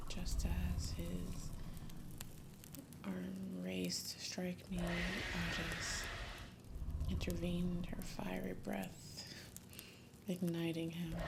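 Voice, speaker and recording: A gentle young woman dragon rider, played by a woman, shares her past in an intimate fantasy ASMR roleplay. Her whisper is breathy and slightly low, with a crackly fry at the edges. Loud campfire and night sounds surround the hushed, halting storytelling. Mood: grave, hushed. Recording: loud ambient nature sound throughout. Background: campfire and night nature ambience.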